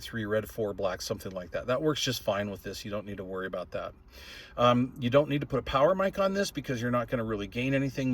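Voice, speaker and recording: An older man with a folksy, plain-spoken, rambling way of talking, giving a casual product review as a hobbyist. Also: medium pitch. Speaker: radio hobbyist reviewer and video host.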